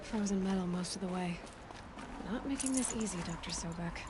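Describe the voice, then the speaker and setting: A woman's voice: medium pitch, fairly quick, background noise, lightly acted. An actress playing a determined, wary young woman explorer in a video game, musing to herself in a natural way. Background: prominent music.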